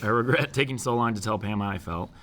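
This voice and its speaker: Low-voiced wry salesman read by a man. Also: quick pace, a bit bright, close to an everyday voice. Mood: wry regret. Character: wry, fond young office salesman. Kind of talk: sitcom table read, confessional monologue. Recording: faint background noise, live room.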